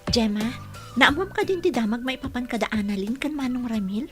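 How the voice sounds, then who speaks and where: A gossipy young woman of radio drama. Her high voice is chatty and full of questions, and faint music plays behind her. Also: lively intonation, fairly quick, a bit bright. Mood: curious. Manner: inquisitive, chatty. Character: nosy, gossipy young female coworker.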